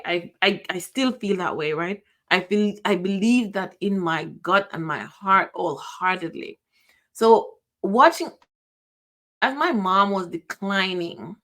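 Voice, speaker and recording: A middle-aged Jamaican woman speaks slowly and personally on her own podcast. Her voice sits a little low for a woman. She often stops, restarts and lets sentences fade as the emotion weighs on her. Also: fairly bright tone. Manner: emotional, halting, with restarts and trailing off. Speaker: podcast host. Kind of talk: personal reflective monologue.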